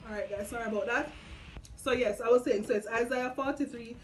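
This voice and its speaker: A young woman with a Jamaican accent chatting casually about faith and scripture, expressive and slipping between English and Patois. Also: on the high side, brisk, a little hiss or hum. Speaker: vlog host. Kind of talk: casual faith chat, retelling and reading scripture. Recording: music behind the voice. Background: background music.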